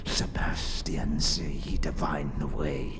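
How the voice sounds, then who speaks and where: A man voicing a hulking, cruel devil in a fantasy audio drama, who threatens in blunt, broken, simple-minded speech with a gravelly voice. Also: very deep voice, little pitch movement, slow, crowd noise, pitched below the performer's own voice, heavily acted. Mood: menacing, demanding.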